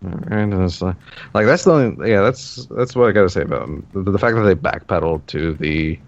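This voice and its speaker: Low-voiced male podcast co-host, rambling and casual, dry lo-fi mic. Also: brisk, vocal fry. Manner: rambling, many fillers and restarts. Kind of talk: casual gaming podcast chat.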